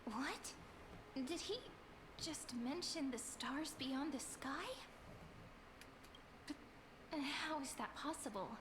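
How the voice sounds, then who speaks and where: A woman's voice: high voice, slow pace, pauses often. A woman playing a poised, playful companion and storyteller in a video game, recorded with noise behind. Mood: astonished. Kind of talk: game story dialogue and narration.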